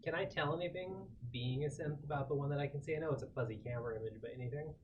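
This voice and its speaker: A man's voice: fairly high pitch, very fast, a little mellow, lo-fi sound, slight background noise. A man talking casually as a player in a tabletop game, asking questions in an inquisitive way.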